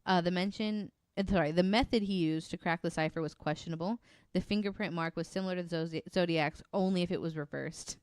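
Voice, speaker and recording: Female podcast host, lower register, dry close sound, informal and giggly true crime chat. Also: fast, few pauses, a little mellow, smooth, no echo.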